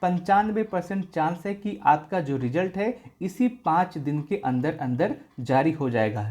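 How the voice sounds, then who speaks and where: A man presents an informational explainer for exam candidates. He works through each point in order as a video host. He often restates what he has just said so that it is clear. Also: on the high side, a little mellow.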